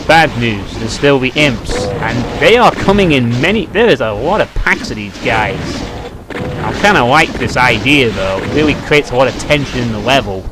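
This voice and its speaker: British male let's player, mid-pitched voice, wry grumbly casual commentary, clearly noisy home recording with game gunfire behind. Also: expressive, normal speed. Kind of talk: casual off-the-cuff gameplay commentary. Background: shooter game gunfire and clicks.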